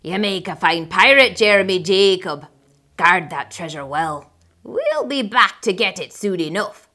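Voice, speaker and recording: A woman voicing a gruff, bossy male pirate captain in a storybook, booming out drawn-out commands in pirate slang. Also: big pitch swings, bright, forceful tone. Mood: gruff, hearty. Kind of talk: storybook character voice.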